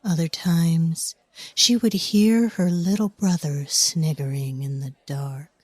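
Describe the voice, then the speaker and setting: A woman playing a brooding, haunted storyteller, narrating dark fantasy dramatically in a breathy voice. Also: low voice, takes it slowly, no room sound. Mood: brooding, hushed. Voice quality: breathy, husky, near whisper at times. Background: music.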